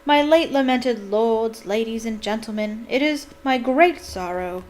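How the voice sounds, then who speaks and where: A woman's voice: on the high side, a little slow, lightly acted, rough recording. A woman gives voice to a dignified male ghost from a storybook, pompous and formal. He sounds proud and easily offended, with a stiff, touchy air. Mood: loud, pompous.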